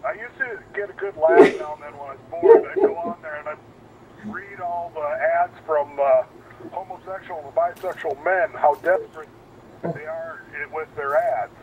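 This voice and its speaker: A man's voice: a little high, animated, moderate pace, a little mellow. A man from the United States chatting casually with other gamers over online voice chat. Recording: tinny voice-chat line, noisy, distant.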